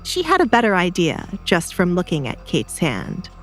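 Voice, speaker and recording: A woman narrates a scripted romantic audio drama. Her voice sits fairly low, with music playing underneath. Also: wildly swooping pitch, moderate pace.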